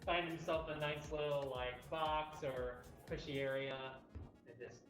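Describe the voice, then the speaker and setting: A woman's voice: low voice, steady tone, unhurried. In a strongly echoing room with music playing, a woman chats casually as a livestream co-host. The delivery is informal and colloquial, like someone explaining things off the cuff. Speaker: cat cafe co-host. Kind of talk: casual unscripted livestream chat. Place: cat cafe.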